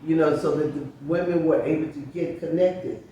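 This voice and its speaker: A woman's voice: sits low, moderate pace, muffled recording, slight background noise. Older woman telling stories, echoey distant mic. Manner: storytelling, lively recollection. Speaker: guest, author. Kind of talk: reminiscing interview talk.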